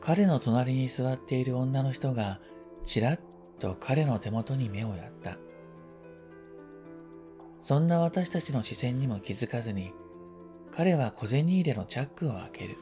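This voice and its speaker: A man reading a first-person literary story as scripted narration, in a quiet, reflective, measured manner. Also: mid-range voice, expressive, soft background music. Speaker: story reader.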